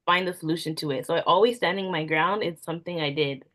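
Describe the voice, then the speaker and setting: A young woman talks through her experiences in a relaxed, conversational way. Her voice sits slightly low, and she leans on "like" as a filler. She sounds unhurried and sincere. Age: young adult. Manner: soft-spoken, frequent "like" fillers. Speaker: event planner guest. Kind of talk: casual interview answers.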